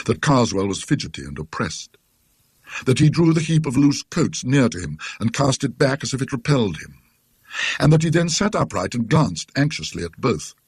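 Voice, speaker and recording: Husky British man narrating a ghost story. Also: lowish voice, no room sound. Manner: dry, scholarly, measured literary delivery. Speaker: audiobook narrator.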